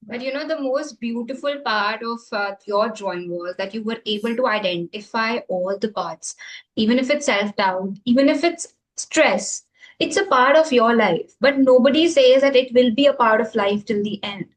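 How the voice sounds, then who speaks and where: A woman coaching an online communication class, giving warm, motivational feedback to a participant over a video call. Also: a little high, normal speed, rough recording.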